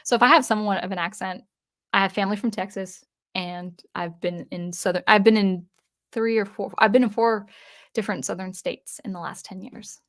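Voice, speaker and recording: A woman's voice: mid-range voice, fairly even intonation, fairly quick, fairly bright tone. A woman from the US Northwest hosting a casual livestream, reading viewer comments aloud and answering them in a chatty way with frequent restarts. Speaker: livestream host.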